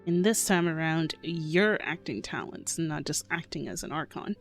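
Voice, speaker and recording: A woman's voice: sits low, animated, moderate pace. A woman streamer chatting playfully over a story game, joking and reacting as she plays. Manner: playful, chatty, laughs often. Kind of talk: game playthrough commentary. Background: game music.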